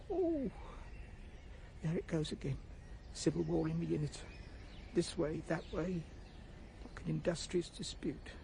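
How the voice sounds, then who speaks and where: A middle-aged English shopkeeper in a radio drama, brooding and bitter. He drags his words out slowly, with a mellow tone and big swings of pitch. He sounds disgusted with his whole life. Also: creaky, a little hiss or hum.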